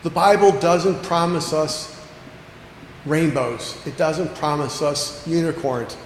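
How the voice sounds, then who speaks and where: A man preaching a sermon as pastor, earnest, slowing down to stress key phrases and repeating lines for emphasis. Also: fairly high pitch, steady tone. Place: church sanctuary. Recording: echoey church hall with long reverb, faint background noise.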